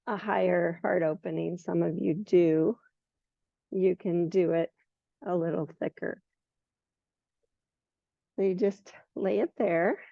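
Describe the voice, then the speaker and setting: A hoarse middle-aged woman guiding a yoga class in a relaxed, unhurried way, with some fillers along the way. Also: mid-range voice, no echo. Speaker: yoga teacher. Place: yoga studio. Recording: muffled lo-fi recording.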